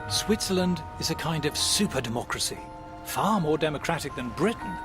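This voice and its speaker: A man giving crisp, scripted documentary narration. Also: on the high side, lively intonation, a little fast. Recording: voice-over with loud music behind.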